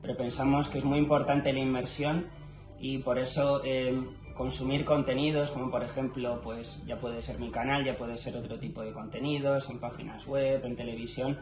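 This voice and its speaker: A man's voice: medium pitch, flat delivery, normal speed. Madrid man in an echoey room. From Madrid, Spain. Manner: frequent filler sounds and affirmations, hesitant restarts. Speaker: host of a language channel. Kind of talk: casual interview conversation. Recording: echoey room with music underneath.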